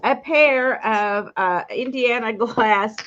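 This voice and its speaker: A woman's voice: a little high, slow pace, a bit bright, dull audio. A woman hosting a live vintage sale, chatting casually and laughing often. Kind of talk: casual live sales chat.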